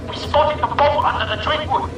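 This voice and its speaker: A menacing, echoing henchman on loudhailer. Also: very high-pitched, moderate pace. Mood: threatening. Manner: loud, threatening, taunting. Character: menacing male armed henchman hailing from a boat. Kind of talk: radio drama acting. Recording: very echoey, distant, over engine noise.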